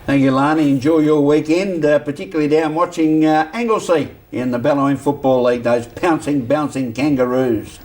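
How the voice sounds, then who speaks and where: Higher-pitched Australian male news anchor, distant mic. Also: brisk, few pauses, a little mellow. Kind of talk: news presenting with chatty handovers.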